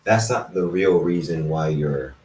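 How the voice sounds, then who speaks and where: A man's voice: low-pitched, measured pace, a little mellow, a little hiss or hum, voice pushed down. A man voicing a blunt, probing, ancient immortal companion in a story, emphatic and repetitive. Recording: roomy echo, distant microphone.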